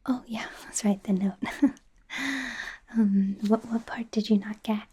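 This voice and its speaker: A woman's voice: medium pitch, level tone, measured pace. A woman playing a friendly, anxious young werewolf medical student in an ASMR roleplay, whispering in a nervous, hesitant ramble with stammered repeats. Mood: apologetic, chatty. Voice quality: breathy soft whisper.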